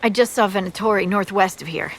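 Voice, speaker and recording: A woman acts a brisk scout in a fantasy game. Her medium-pitched voice is matter-of-fact and informal, with a dry edge. Faint background noise sits under it. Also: natural voice. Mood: businesslike. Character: brisk, practical female army scout. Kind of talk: video game character acting.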